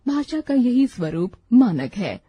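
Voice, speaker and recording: Woman reading a grammar lesson, mellow voice, dry close sound, goes through lists steadily. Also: a little high, sing-song, slightly rough, dry recording, highs cut off. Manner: measured, recites rules and example lists. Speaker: textbook narrator. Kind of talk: scripted grammar lesson narration.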